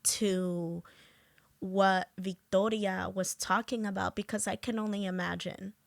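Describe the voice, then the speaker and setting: A woman hosting a podcast, talking casually in a slow, halting way with lots of fillers. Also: medium pitch, steady tone, vocal fry, no room sound, clean studio sound. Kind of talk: casual conversation.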